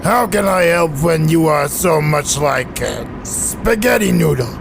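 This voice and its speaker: Gravelly, surly grump. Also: male voice, a little high, animated, unhurried, over music. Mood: gruff, dismissive. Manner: curt, growling. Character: big, surly, grumpy older Slovak male cartoon mechanic. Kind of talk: animated comic dub acting. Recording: clearly noisy background.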